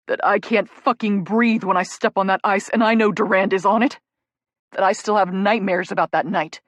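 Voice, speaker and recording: A woman voicing an anguished young male hockey player who shouts and swears through sobs. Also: medium pitch, a little slow, no room sound, natural voice. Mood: anguished shouting.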